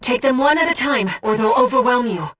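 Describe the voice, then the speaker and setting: A woman voicing a calm, earnest, gentle disembodied female guide for a pilot in a video game, with measured, precise delivery. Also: on the high side, recorded from a distance. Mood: urgent alarm. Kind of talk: scripted video game voice acting, mission support.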